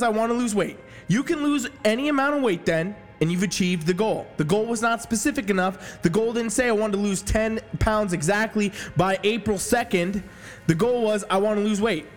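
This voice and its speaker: A man's voice: high-pitched, in a large hall. A man hosting a fitness podcast as a coach, giving a profane, brash motivational rant, a little sniffly from allergies. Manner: brash, swearing, hype-man delivery.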